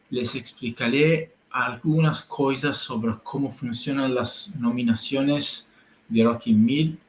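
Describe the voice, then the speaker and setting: A man with an Italian accent hosting a live online meeting, talking in a hesitant, halting way and switching between Spanish and English. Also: mid-range voice, reverberant, slight background noise. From Italy. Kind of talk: livestream briefing.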